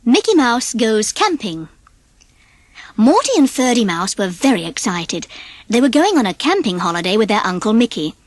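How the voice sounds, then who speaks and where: A British woman narrates a children's story with plenty of expression. Her voice is on the high side and lively, in a dry, close recording. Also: normal speed, dry recording. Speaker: storybook reader.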